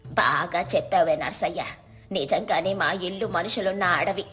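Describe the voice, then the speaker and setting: A woman's voice: on the low side, normal speed, fairly bright tone, soft background music, voice pushed down, natural voice. A woman voicing a timid, worried old village woman who sells vegetables and complains fretfully.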